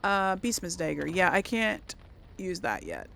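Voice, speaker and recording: Unhurried woman gamer, creaky voice. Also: lowish voice, sing-song, a bit bright, slight background noise. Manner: rambling, thinking aloud. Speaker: gaming video host. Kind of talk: casual let's play commentary.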